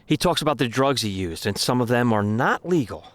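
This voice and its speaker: A man's voice: mid-range voice, animated, fairly quick, fairly bright tone, dry recording, natural voice. An actor playing a frustrated young man standing up to his father, defiant and exasperated.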